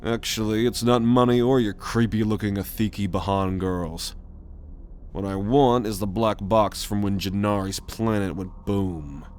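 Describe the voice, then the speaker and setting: A man's voice: lowish voice, fairly even intonation, unhurried, a little mellow, clear, resonant tone, lightly acted. A man playing a cocky, commanding alien rogue with a sardonic manner in an ASMR roleplay audio drama. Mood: serious, persuasive. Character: cocky male alien rogue, bold leader on a mission.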